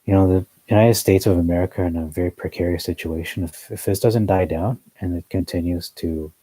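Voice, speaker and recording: A man's voice: low voice, steady tone, brisk, dark tone, dry recording. A man giving a rambling, earnest religious commentary monologue, full of fillers and asides. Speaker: newsletter commentator.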